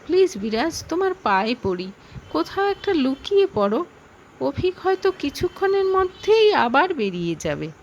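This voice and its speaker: Woman acting fretful young wife in an audio story, high swoopy voice, anxious and pleading. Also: sing-song, normal speed, highs cut off, a little hiss or hum. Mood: panicked pleading. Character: lonely, anxious young wife hiding an affair.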